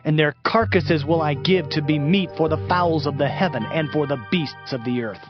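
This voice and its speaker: Male actor as stern biblical prophet, higher voice, declamatory, slightly muffled sound, scripture drama. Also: natural voice. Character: stern male Hebrew prophet proclaiming doom. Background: prominent music.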